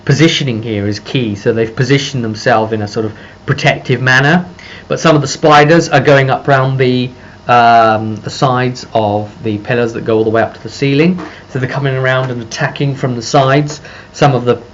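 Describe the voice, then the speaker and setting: A man with a mid-pitched voice gives a casual, unscripted recap as a game master. He rambles along, piecing the story together as he talks. The recording is dull and lo-fi, with faint noise behind him. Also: steady tone, moderate pace. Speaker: game master recounting a session.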